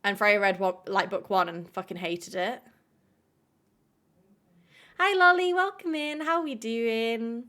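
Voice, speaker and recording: A woman's voice: a little high, animated, slow, long pauses, pushed and bright. A woman hosting a live stream, chatting casually with viewers, filling her talk with "like" and swearing freely. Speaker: livestream host.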